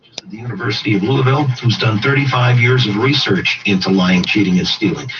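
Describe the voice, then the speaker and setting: A man hosting a radio interview, asking formal, wordy questions in a measured way. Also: lowish voice. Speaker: radio talk show host. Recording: distant, muffled, noisy radio playback.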